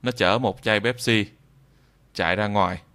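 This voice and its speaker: A man giving an unscripted software tutorial in a hesitant, halting way, often repeating phrases. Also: lowish voice, steady tone, clean tone. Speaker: video tutorial presenter.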